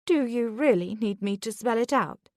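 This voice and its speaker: Woman narrator doing a jittery headmistress, raised and halting, dry read. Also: a little high, darkish tone, dry recording, voice pushed up, natural voice. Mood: flustered. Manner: nervous, hesitant. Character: flustered middle-aged female headmistress.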